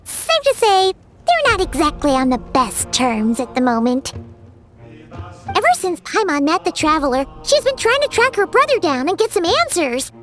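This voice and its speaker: A woman's voice: big pitch swings, normal speed, a bit bright, soft background music, exaggerated voice. An actress voicing a tiny, chatty, fairy-like female companion in a video game who talks about herself in the third person. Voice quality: very high and bouncy.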